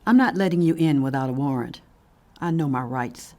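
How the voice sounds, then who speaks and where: An actress playing a defiant, sardonic neighbor in an audio drama, speaking with pointed, indignant emphasis. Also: female voice, low voice, moderate pace, warm tone, lightly acted. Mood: wary, challenging. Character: defiant, sardonic woman neighbor, standing her ground. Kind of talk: audio fiction drama acting.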